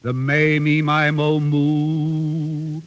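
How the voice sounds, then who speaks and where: An actor playing a devoted, patient but firm voice teacher, with careful enunciation in mid-century radio drama style. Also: male voice, a little high, animated, moderate pace, faint music behind. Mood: guarded, instructive. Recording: dull old radio recording.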